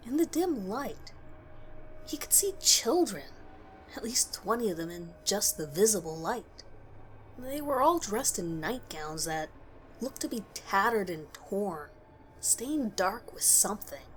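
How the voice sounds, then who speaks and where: Female narrator for scripted horror fiction, medium pitch, faintly noisy recording. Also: big pitch swings, measured pace.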